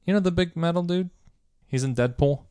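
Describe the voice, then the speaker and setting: A man's voice: on the high side, fairly quick, warm tone, clean tone, no echo. A man casually quizzing a friend on comic character names, playful and laughing along. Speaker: quiz host. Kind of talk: casual chat.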